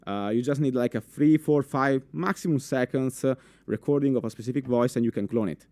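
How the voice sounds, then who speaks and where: A young man with an Italian accent giving a live conference talk in a full room, animated, with many fillers and restarts, asking the audience questions. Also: medium pitch, brisk, no echo. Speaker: conference speaker.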